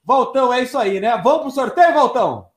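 A man from Brazil hosts a live stream with an upbeat, lively delivery. His voice sits high, and it rings in an echoey room. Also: fairly quick.

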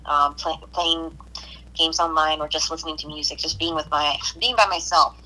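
Bright-voiced hesitant woman from Puerto Rico. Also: sits low, fairly even intonation, normal speed, low-quality recording. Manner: hesitant, with restarts and soft laughs. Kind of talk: casual conversation.

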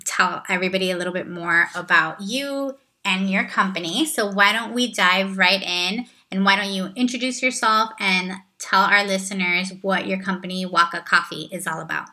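Bright, upbeat woman podcast host. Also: mid-range voice, moderate pace, few pauses, no echo. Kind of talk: scripted intro then interview.

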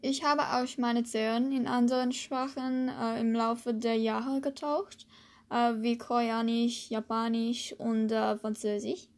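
A woman from the United States, a native English speaker, hosting a podcast and slowly reading a lesson in German with hesitant fillers. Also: a little high, level tone, a little mellow. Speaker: podcast host, German learner. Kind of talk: scripted language lesson podcast.

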